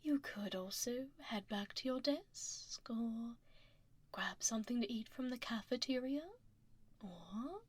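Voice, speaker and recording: A woman's voice: a little high, husky. Whispery, poised dragon lady boss played by a woman. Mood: composed, reassuring. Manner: whispery, unhurried, poised, gently commanding. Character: powerful composed female dragon executive boss, teasing, exacting. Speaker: ASMR roleplay performer. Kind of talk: soft-spoken ASMR roleplay. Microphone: close binaural microphone. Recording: dry close binaural studio recording.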